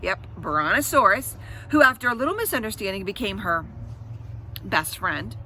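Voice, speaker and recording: Animated woman reading a children's story. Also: mid-range voice, moderate pace, slight background noise. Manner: expressive, dramatic emphasis. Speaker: read-aloud storyteller. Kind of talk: children's story read-aloud with chatty asides.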